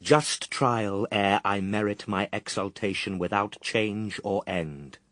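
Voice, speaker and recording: A man's voice: fairly low pitch, a bit bright, dry recording. An actor playing the Son of God as a grave, patient and steadfast man, declaiming solemn verse in a radio drama. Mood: patient, firm. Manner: measured, declamatory, morally firm.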